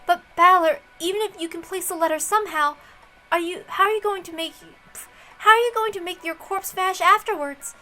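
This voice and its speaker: A woman's voice: very high voice, fairly quick, bright, forceful tone, voice pushed up. A woman voicing a needling, formally polite young female detective rival in a visual novel reading. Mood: pressing, taunting.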